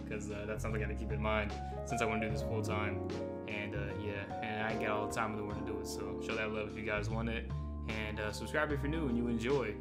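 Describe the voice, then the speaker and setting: Young male reaction host, slightly higher-pitched, informal and emphatic, casual commentary over clearly audible guitar music. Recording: music playing behind the talk.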